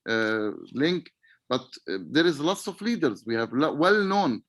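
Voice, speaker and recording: A man from Palestine, answering interview questions on a video call in a halting way, searching for words. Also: on the high side, sing-song, a bit bright, dry recording. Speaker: webinar guest.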